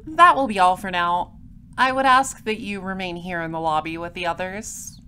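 A woman's voice: fairly low pitch, normal speed, bright, forceful tone, a little hiss or hum. Woman as a clipped, probing detective. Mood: businesslike. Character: cool, probing space patrol detective. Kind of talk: audio drama dialogue and narration.

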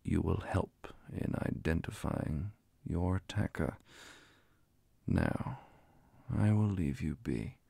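A man voicing a calm, formal vampire inquisitor in a soft-spoken, whispered ASMR roleplay, questioning in a measured, deliberate way. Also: deep voice, vocal fry, breathy edge, dry recording, exaggerated voice. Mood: grave, authoritative. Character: calm, formal male vampire inquisitor, centuries-old authority.

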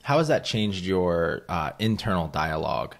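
A man's voice: deep voice, very animated, moderate pace, vocal fry. A man hosting a podcast, asking short encouraging questions. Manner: brief, encouraging. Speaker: podcast host. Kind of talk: interviewing.

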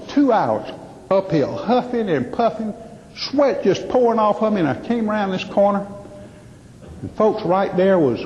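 A Southern American man performs folksy comic storytelling on stage in a high-pitched voice. He pauses and stretches out his punchlines. The sound is muffled and rings with the long echo of a big hall. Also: a little mellow. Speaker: professional humorous speaker. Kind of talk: stand-up storytelling to a live audience. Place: large indoor hall with a live audience. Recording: muffled, echoey hall PA with some noise.

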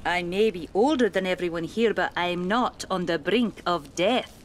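A woman playing an elderly spirit medium in a period audio drama, prim and dryly teasing, speaking in a deliberate, proper way. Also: fairly low pitch, slight background noise, natural voice.

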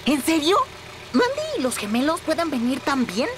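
A woman voicing an eager, boastful little boy in a dubbed children's cartoon, excitable and sure he knows everything. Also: high voice, moderate pace. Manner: excitable, know-it-all. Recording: music behind the voice.

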